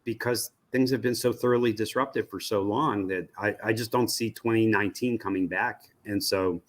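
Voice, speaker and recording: Older American male, lowish pitch. Expert interview guest, analytical, halting and repetitive phrasing. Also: steady tone. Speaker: guest, media research executive. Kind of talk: interview answers, analytical explanation.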